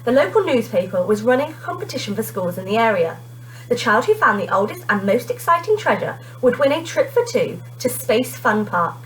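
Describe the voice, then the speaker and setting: A woman teacher reading a children's storybook aloud in an expressive narrating style. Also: on the high side, fairly quick, slight background noise, low-quality recording.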